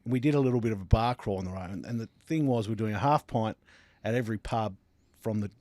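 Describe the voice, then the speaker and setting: A man's voice: lowish voice, fast talker, darkish tone, no echo. A man with an Australian accent hosting a casual sports panel chat. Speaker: podcast host.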